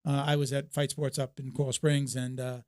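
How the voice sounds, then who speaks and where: A man hosting a podcast in casual chat, halting and circling back over his phrases. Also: medium pitch, little pitch movement, darkish tone, no room sound, clean studio sound. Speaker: podcast host.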